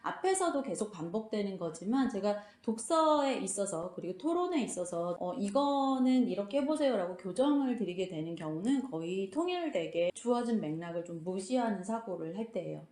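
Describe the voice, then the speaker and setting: A woman's voice: mid-range voice, dark tone. A woman hosting a book club lesson, explaining and commenting on questions in a conversational way. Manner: conversational, acts out imagined reactions in quotes. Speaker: host of a fairy tale book club channel. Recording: roomy, echoing indoor sound.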